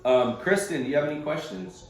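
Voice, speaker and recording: A man's voice: on the high side, fairly quick, heavy reverb, poor audio. A man coaching a group on a conference call, explaining things informally and conversationally with casual slang. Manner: casual and conversational, uses slang like bro and bud.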